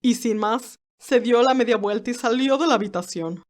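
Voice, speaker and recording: Woman as elderly aristocratic great-aunt, haughty, dramatic first-person narration, disdainful, slightly high pitch, audio drama. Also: normal speed, lightly acted. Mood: indignant, disappointed. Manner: formal, dramatic, disdainful storytelling.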